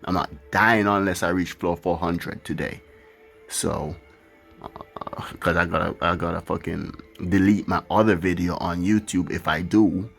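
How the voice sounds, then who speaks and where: A man's voice: deep voice, fairly even intonation, normal speed, vocal fry, a bit bright. A man giving casual, rambling gameplay commentary. He swears now and then and talks candidly as he plays. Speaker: gaming channel host. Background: his own music playing faintly.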